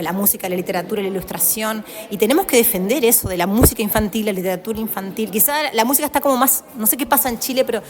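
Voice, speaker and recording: A woman with a Uruguayan accent interviewing a guest in a relaxed chat, affirming often and tagging her phrases with questions. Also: fairly high pitch. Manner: warmly affirming, ends phrases with tag questions. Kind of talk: casual conversational interview.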